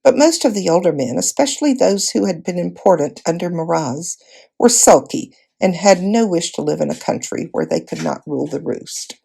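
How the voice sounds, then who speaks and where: A woman reading a children's fantasy novel aloud as an expressive storybook narrator. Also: on the low side, moderate pace, gravelly, slightly rough. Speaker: volunteer storybook reader.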